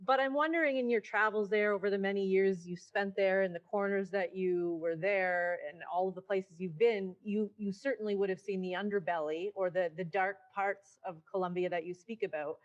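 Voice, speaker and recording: A woman's voice: mid-range voice, steady tone, moderate pace, hardly any pauses, highs cut off. Probing woman interviewer, rambling questions.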